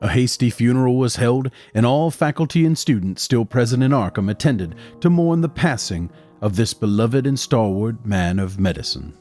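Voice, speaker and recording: A man playing a mild young doctor who narrates and speaks in an audio drama, measured and formal. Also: mid-range voice, expressive, warm tone, faint music behind, natural voice. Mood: somber narration. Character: mild, conciliatory young male doctor, loyal colleague and narrator. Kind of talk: literary first-person narration and dialogue in audio drama.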